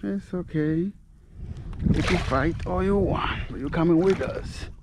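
A man filming himself fishing from a small boat in a mangrove bay, talking excitedly and laughing, chatting to the fish as he reels it in. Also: on the high side, unhurried, heavy background noise. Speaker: fishing vlogger. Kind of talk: casual play-by-play while fishing.

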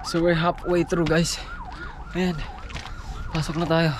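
A man's voice: on the high side, flat delivery, measured pace. Young man vlogging on a windy hillside. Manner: chatty, exclaims at the view, out of breath while climbing. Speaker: travel vlogger. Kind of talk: casual travel vlog, mixing Filipino and English. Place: outdoors on a hillside in an old stone town. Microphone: camera held at arm's length. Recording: outdoor noise in the background.

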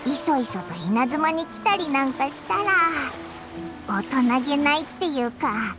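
A woman voicing a tiny, childlike fairy guide in an anime game, cheeky and excitable, with brash, boyish speech and a squeaky voice. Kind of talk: Japanese anime game voice acting.

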